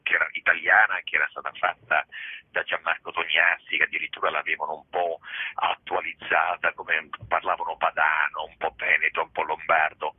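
A man speaks in a very deep, husky voice. He muses and wanders as a theatre director in a radio interview, often restarting his sentences. The sound comes through a thin, tinny phone line with no room echo. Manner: reflective and digressive, many fillers and restarts. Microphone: telephone.

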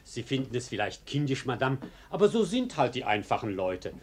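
A man's voice: medium pitch, very animated, brisk, slight background noise, natural voice. An actor playing a sly male arsonist in a radio play who poses as a polite, ingratiating dinner guest. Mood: mock politeness. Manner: ingratiating, mock-polite, insinuating. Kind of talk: German radio play acting.

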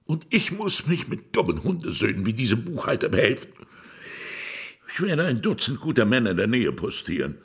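An actor playing a wily, self-pitying crime boss in a radio play, gravelly and theatrical, swooping between wheedling and grumbling. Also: male voice, medium pitch, very animated, normal speed, a bit bright, heavily acted. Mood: scheming. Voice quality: gravelly, husky. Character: shady Chinese crime boss, wily, self-pitying, touchy about his reputation.

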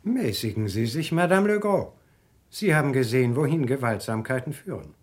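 Haughty mid-pitched gentleman played by a man. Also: expressive, moderate pace, lightly acted. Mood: admonishing. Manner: stiff, condescending. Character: haughty, admonishing aristocrat's companion. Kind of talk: theatrical German radio drama acting.

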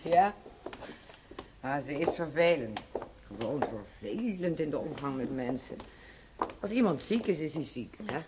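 An actress playing a stern, no-nonsense female head nurse in a radio drama, brisk and reproving. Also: sits low, big pitch swings, background noise. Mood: dry disapproving.

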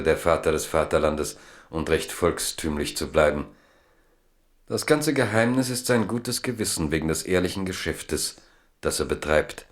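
Low-voiced male radio play narrator.

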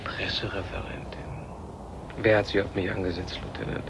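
An actor playing a stubborn, alarmed physicist in a 1970 German radio play, insistent and argumentative. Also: male voice, low voice, sing-song, normal speed, vocal fry, in a whisper, exaggerated voice. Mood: bitter, curt. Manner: insistent, argumentative, rhetorical questions. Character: stubborn, alarmed physicist warning against an alien machine.

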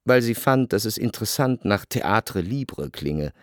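First-person narrator, observant salon guest, fairly low voice, literary radio play. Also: male voice, animated, a little slow, no echo, studio quality. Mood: observant, composed.

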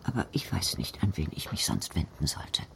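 A woman playing an aggrieved, devout old grandmother in a radio play, often dropping into breathy whispers as she speaks intimately. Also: a bass voice, sing-song, moderate pace, fairly bright tone, exaggerated voice. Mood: hushed, pleading.

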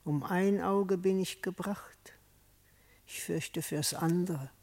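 Gloomy frightened beast, higher male voice, drawn-out anxious lamenting, classic radio drama. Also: steady tone, a little mellow, heavily acted. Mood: despairing, fearful. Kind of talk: classic radio play verse acting.

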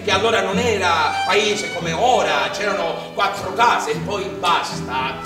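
An actor playing a spirited, hungry young farm labourer with a Sicilian accent, telling his life in emphatic, folksy theatrical storytelling. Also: sits high. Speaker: actor narrating an autobiographical stage play. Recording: distant echoey theatre stage, music behind.

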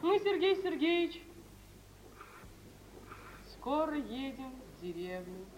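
A woman's voice: high-pitched, sing-song, slow pace, a little mellow, distant mic, exaggerated voice. An actress playing a proud, wounded young woman in an old Russian radio drama, earnest and emotional in a theatrical style. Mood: earnest, tense. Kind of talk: mid-20th-century Russian radio theatre acting. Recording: muffled, hissy old radio drama recording.

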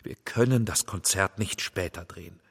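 An actor playing an obsessive, commanding film director in a German radio drama, insistent and emphatic. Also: male voice, sits low, normal speed, gravelly, breathy edge, full character voice. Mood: cold, demanding. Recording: reverberant hall with music bed.

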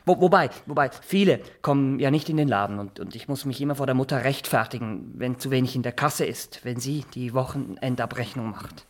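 An actor playing a nervous, boastful Swiss small-town barber in a radio drama, rambling, halting and always justifying himself. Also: male voice, mid-range voice, lightly acted. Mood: boastful, self-justifying. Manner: rambling, halting, repeats himself, keeps adding tag questions. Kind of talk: naturalistic radio drama acting.

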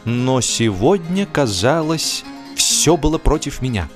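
Male narrator, unhurried pace, mid-range pitch, expressive dramatic storytelling, deliberate pauses, faint music behind. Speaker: audiobook narrator, actor. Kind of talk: dramatic scripted literary narration for radio. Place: radio studio.

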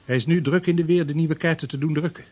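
Brief: male actor as a persuasive seafarer, husky and modest. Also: a little high, steady tone, fast, a little mellow, lo-fi sound, lightly acted. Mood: earnest. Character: earnest seasoned voyager and trader, adult man. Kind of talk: period radio drama acting.

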